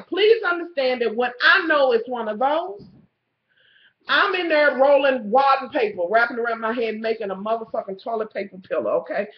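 Woman, young adult, raspy, getting a cold, profane rant, webcam, echoey room. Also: high voice. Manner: loud, blunt and swearing, talking to viewers directly. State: coming down with a cold. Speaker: vlogger. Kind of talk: profane ranting storytelling. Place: small room at home, indoors. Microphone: webcam in front of the face. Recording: roomy, muffled webcam audio.

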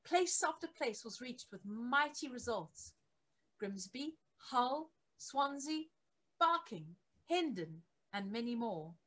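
High-voiced middle-aged woman reading aloud. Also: lively intonation, slow pace, a bit bright. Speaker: reader of a church history book. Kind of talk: scripted narration, reading aloud from a biography. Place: attic home office, indoors.

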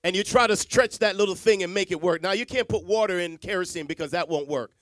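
A middle-aged man from Jamaica preaches with a high voice, recorded dry and close on a handheld mic. He drops to near whispers, then climbs back up with repeated lines for emphasis. The sound has little room to it despite the church stage. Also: fast, no echo. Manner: dramatic swings from hushed to loud, repetitive emphasis. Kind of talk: sermon. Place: church stage with Christmas decor, indoors. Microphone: handheld microphone.

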